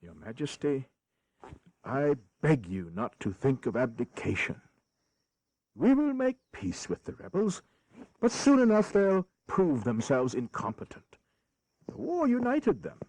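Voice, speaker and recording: A man's voice: medium pitch, wildly swooping pitch, unhurried, mellow, dry recording, full character voice, low-quality recording. A man playing a weary, bitterly ironic in a history audio drama, with a theatrical, wry manner. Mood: persuasive. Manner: theatrical, wry, deferential to the king.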